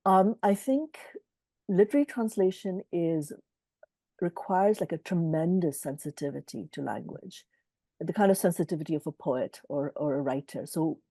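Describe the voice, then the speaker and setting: Middle-aged woman publisher, low and mellow, thoughtful and earnest, dry video-call sound. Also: moderate pace, no echo. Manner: thoughtful, earnest, warmly reflective. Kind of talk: reflective interview answers.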